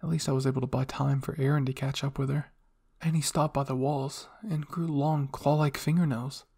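A man reading fan fiction aloud as dramatic first-person story narration, moving between hushed and intense delivery. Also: mid-range voice, fairly even intonation, a little fast, creaky, husky. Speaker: audio fan fiction reader.

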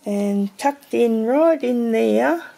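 A woman's voice: on the high side, animated, drawn-out words, gravelly, dark tone, low-quality recording. A woman giving a casual, meandering tour of her home pantry, thinking aloud as she films her shelves. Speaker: homesteading vlogger.

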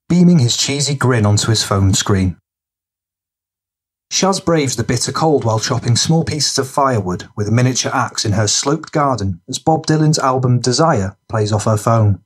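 A man's voice: mid-range voice, slightly rough. A man reading scripted narration for a gritty crime fiction audiobook.

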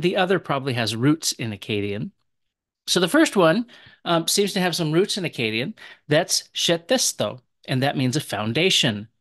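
A man with a gravelly voice of middling pitch, teaching a recorded language lesson. He explains in a relaxed, chatty way. The sound is dry and close, with no room echo. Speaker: teacher of Aramaic.

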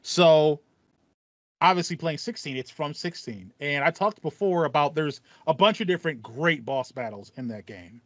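Higher-pitched man chatting enthusiastically, dull sound. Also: normal speed, fairly bright tone, dry recording. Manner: rambling, repeats phrases, enthusiastic. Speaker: podcast co-host. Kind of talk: casual chat about video games. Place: small home room with acoustic foam. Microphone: headset, video call. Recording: dull, slightly lo-fi call audio.

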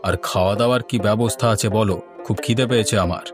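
A man's voice: lowish voice, fast, over music, lightly acted. A man playing a courteous, self-assured city official in a cartoon, speaking politely and formally. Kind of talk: cartoon dialogue.

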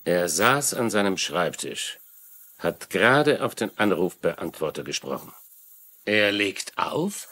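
Ein Schauspieler spielt einen skeptischen, sachlich argumentierenden Polizei-Lieutenant in einem synchronisierten Fernsehkrimi. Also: male voice, sits low, very animated, takes it slowly, sharp tone, exaggerated voice. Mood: sceptical. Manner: matter-of-fact, argumentative. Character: sceptical, matter-of-fact male police lieutenant. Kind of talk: German-dubbed TV crime dialogue.

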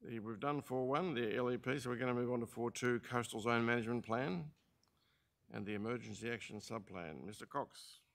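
An older Australian man chairing a council meeting as administrator, speaking in a halting way with many fillers and restarts, into a desk mic in a large council chamber. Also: medium pitch, vocal fry. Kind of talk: formal meeting remarks.

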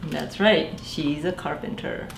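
A woman in her forties, a children's librarian, reading a picture book aloud in a gentle sing-song way and asking the children to guess. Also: sits low, slow pace, creaky, roomy sound, heavy background noise. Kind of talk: storytime read-aloud for young children. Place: small library room indoors.

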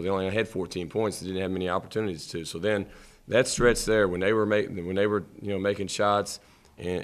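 Low-voiced man coaching at a press conference. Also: fairly bright tone, slight background noise. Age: middle-aged. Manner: measured, halting, repeats words while thinking. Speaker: head basketball coach. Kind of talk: postgame press conference answers. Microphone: table mic.

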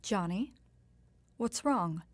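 A woman playing a devoted, gently worried mother in a nostalgic period audio drama, speaking in a genteel, tender and proper way. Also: mid-range voice, lively intonation, very slow, dry recording, clean studio sound.

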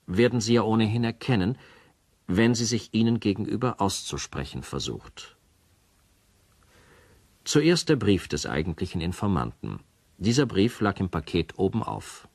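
Formal announcer for crime radio play: lowish voice, sober, measured, dry sound. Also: male voice, very animated, a little mellow, no room sound. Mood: grave, factual. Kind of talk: scripted radio play narration.

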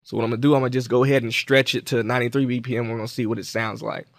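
A man presenting a software tutorial in an informal, conversational way, explaining things step by step. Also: medium pitch, flat delivery, very fast, over loud music. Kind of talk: casual software tutorial walkthrough.

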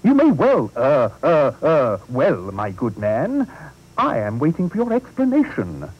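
A man playing a pompous, blustering male town mayor who booms indignantly. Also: wildly swooping pitch, a little slow, husky, dull audio, full character voice. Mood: demanding. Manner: booming, indignant.

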